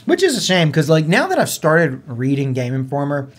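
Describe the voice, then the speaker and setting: A man's voice: fairly high pitch, wildly swooping pitch, moderate pace. A man co-hosting a gaming podcast in a casual, conversational way, with "like" sprinkled through his talk. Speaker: podcast co-host.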